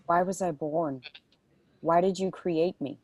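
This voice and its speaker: A woman's voice: fairly low pitch, steady tone, measured pace, darkish tone, no echo. A woman reading the part of a troubled, bitter pregnant woman in a dramatic script table read. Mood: anguished, defiant.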